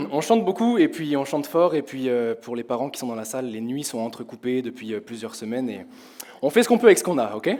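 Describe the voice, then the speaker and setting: A young man from France preaching to his congregation in a church hall, his voice hoarse, talking in a conversational way and asking the congregation questions. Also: medium pitch, very animated, brisk, a little mellow. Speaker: pastor. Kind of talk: sermon. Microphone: headset with in-ear monitor.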